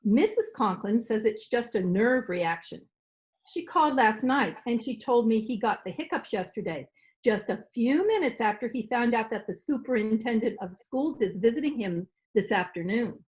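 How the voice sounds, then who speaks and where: Radio comedy role: dotty, talkative older landlady played by a woman, highish pitch, swooping intonation. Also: animated, normal speed, a little mellow, close to an everyday voice, rough recording. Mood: chatty, confiding. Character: absent-minded, chatty older landlady. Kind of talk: old-time radio comedy acting. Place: recorded remotely at home.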